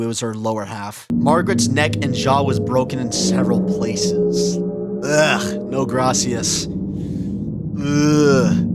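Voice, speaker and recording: A man doing casual reaction commentary in an informal, slangy way, reading captions aloud and breaking in with exclamations. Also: mid-range voice. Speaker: reaction video host. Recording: loud music under the voice. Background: loud music.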